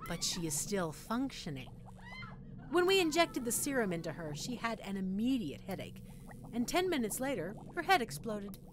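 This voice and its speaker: A woman's voice: mid-range voice, noisy background, close to an everyday voice. A woman playing a sadistic, gleeful mad scientist in an audio roleplay, teasing her captive listener with sweet condescension.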